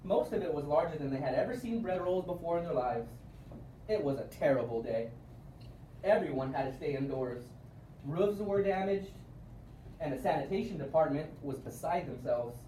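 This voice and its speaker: A man reading a children's storybook aloud in an expressive way with emphatic word stress, recorded at a distance in a room. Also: a little high, normal speed. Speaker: storybook reader. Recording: roomy, distant mic with faint noise.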